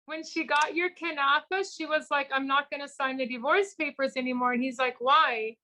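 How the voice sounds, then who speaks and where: A woman's voice: high voice, normal speed, a little hiss or hum, low-quality recording. A woman and small business founder speaking as an interview guest in a casual, chatty, rambling way, full of fillers, and acting out the people she quotes as she tells stories. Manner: animated storyteller who acts out quoted people.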